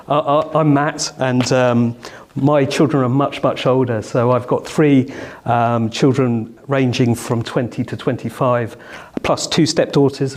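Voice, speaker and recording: A middle-aged man leads an informal group conversation. His pitch sits in an ordinary male range, and he feels his way through his sentences with a loose, meandering delivery. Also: a little mellow. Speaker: host of a group discussion with dads. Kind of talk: casual chat.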